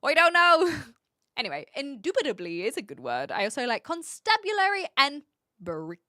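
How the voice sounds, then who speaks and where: A woman's voice: sits high, wildly swooping pitch, moderate pace, sharp tone, no echo, studio quality. A young woman streamer in her twenties, from Australia, chatting casually and rambling as she reads out viewer comments, often giggling.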